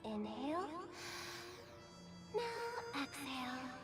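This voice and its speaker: A woman playing a gentle, reassuring young dreamworld hostess in a video game, soothing and polite. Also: high voice, sing-song, drawn-out words, heavily acted. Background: game music.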